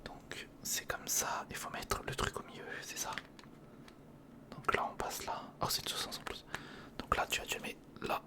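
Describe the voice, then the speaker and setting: A man whispering breathily in a casual ASMR commentary, murmuring step by step to the viewer as if to a friend. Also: normal speed. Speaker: ASMR video creator, host. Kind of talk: ASMR, casual running commentary while building a model. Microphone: close recorder microphone.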